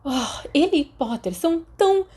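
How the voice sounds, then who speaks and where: A woman's voice: high-pitched, expressive, moderate pace, darkish tone, pitched above the performer's own voice. Woman acting exasperated Brazilian teenage schoolgirl, emphatically scornful. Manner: decisive, huffy, emphatic.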